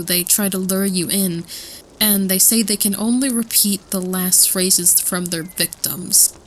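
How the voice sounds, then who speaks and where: A woman's voice: on the low side, fairly bright tone, a little hiss or hum, rough recording. A woman playing a nervous student who rambles through spooky folklore in a hesitant, casual way, repeating herself, in an audio roleplay. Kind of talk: casual campfire storytelling roleplay.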